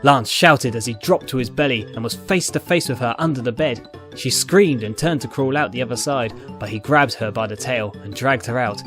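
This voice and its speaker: A man's voice: mid-range voice, normal speed, music in the background. A man reading fiction aloud as narrator, in scripted dramatic storytelling style. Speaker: narrator, fan fiction reader.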